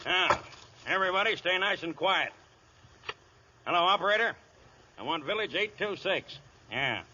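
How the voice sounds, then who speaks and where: An American actor playing a crooked, bullying police chief in old radio comedy style, gruffly barking orders in gangster slang. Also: male voice, sits high, animated, normal speed, background noise. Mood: brisk, commanding. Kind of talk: 1930s-40s radio comedy acting. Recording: dull vintage radio broadcast.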